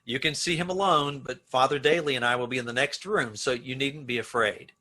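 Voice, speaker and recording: Radio drama warden played by a man: formal, measured, obliging but skeptical, sharp bright tone, husky voice. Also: medium pitch. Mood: firm, obliging. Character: frank, doubtful but obliging male prison warden. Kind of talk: amateur old-time radio play acting.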